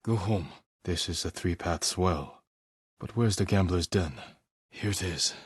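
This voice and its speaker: A stern, honorable young samurai warrior from a dramatic video game. His voice is deep and husky, close and dry. He speaks in terse, measured lines with restrained, weary resolve. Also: no room sound. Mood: firm, measured, then low, wary. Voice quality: low, husky voice.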